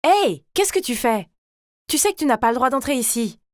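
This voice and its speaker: A woman's voice: high voice, big pitch swings, normal speed, no echo, clean studio sound. A woman voicing a fussy, bossy female family member in a cartoon story, with emphatic delivery. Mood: annoyed. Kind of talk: acted story dialogue.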